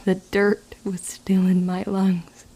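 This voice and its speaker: A woman playing a grim, resolute young witch in an audio drama, measured and solemn in delivery. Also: mid-range voice, completely flat delivery, dark tone. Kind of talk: scripted first-person audio drama narration.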